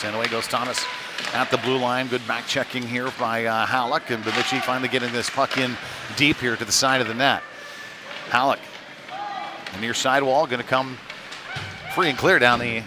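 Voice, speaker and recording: A man giving live play-by-play of a youth ice hockey game in short, clipped phrases that trail into asides. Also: medium pitch. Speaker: play-by-play commentator. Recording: noisy rink with ambient clatter behind. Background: rink game noise.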